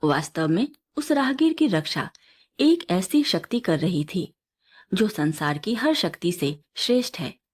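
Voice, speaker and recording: A serene male sage from a storybook, played by a woman, instructing his disciple. The delivery is assured and kindly, with animated, often lifted intonation. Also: lowish voice, measured pace.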